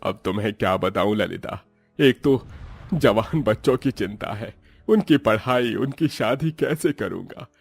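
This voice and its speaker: A man's voice: on the low side, expressive, measured pace, creaky, breathy edge, dry recording, faint music behind, exaggerated voice. A man voicing a poor, middle-aged farmer and father in a village story, kindly, earnest and lamenting. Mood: worried, pleading.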